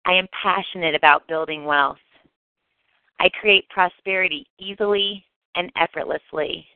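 A woman reciting affirmations for a guided meditation in measured, declarative sentences. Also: lowish voice, steady tone, no echo. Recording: dull, close, dry recording.

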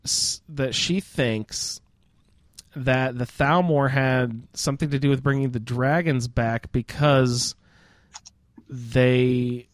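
A man's voice: mid-range voice, steady tone, takes it slowly, dry recording. A man hosting a casual, informal roundtable discussion about a video game. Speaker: podcast host.

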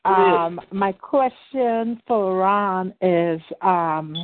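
A woman's voice: mid-range voice, drawn-out words. A woman from Trinidad and Tobago calling into a radio show, rambling with many fillers. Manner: rambling, many "um"s and "you know"s. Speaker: caller. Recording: tinny phone line.

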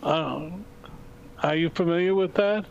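Halting guy on a talk show, lotta uhs, higher pitched, muffled sound. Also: gravelly, smooth. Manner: hesitant, halting. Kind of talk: casual talk show chat. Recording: dull lo-fi audio.

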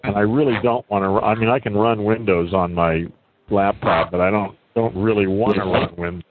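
A man chatting casually, asking eager, rapid questions. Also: fairly low pitch. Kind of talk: casual conversation. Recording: phone-like line with faint noise.

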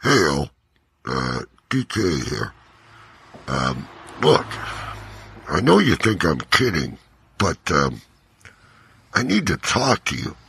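A scheming sidekick, played by a man in a homemade comic audio drama. He cajoles and hesitates in a low, husky, unhurried voice. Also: huge pitch swings, bright, forceful tone, no room sound, full character voice. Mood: cajoling. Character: scheming, cajoling buddy talking friend into bowling bets. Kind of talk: hobby comedic audio drama acting.

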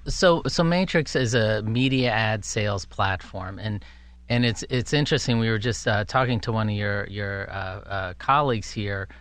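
A man with a fairly low, smooth voice answers as an interview guest. His delivery is conversational and halting, like an executive explaining things in a measured way. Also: fairly bright tone, no echo.

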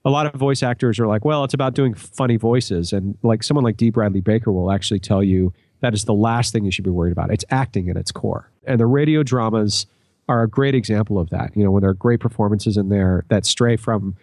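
A man with a slightly low voice talks in a casual interview. He explains his craft earnestly, as a guest would. The sound is dry and close, with no room to it. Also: brisk, darkish tone, no echo. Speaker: podcast guest, voice actor.

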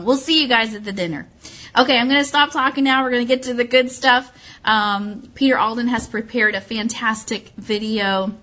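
A woman's voice: medium pitch, bright, forceful tone. A woman hosting a radio show, giving casual announcements in a chatty, digressive way and correcting herself as she goes.

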